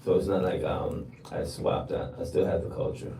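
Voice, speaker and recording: Deep-voiced older man, distant and roomy. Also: normal speed, gravelly. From Jamaica. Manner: relaxed, repeats words for emphasis. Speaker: DJ guest. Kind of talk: livestream interview answers. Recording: roomy, distant, noisy livestream audio.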